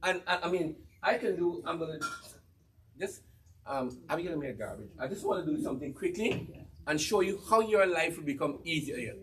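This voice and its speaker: A Guyanese man with a fairly high voice pitches cookware to a live audience. He quizzes the room, repeats his key lines, and keeps the energy up. He sounds set back from the microphone. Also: animated, moderate pace, a bit bright, far from the mic, slight background noise, poor audio. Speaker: cookware presenter at a church gathering. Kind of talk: live demonstration talk to an audience.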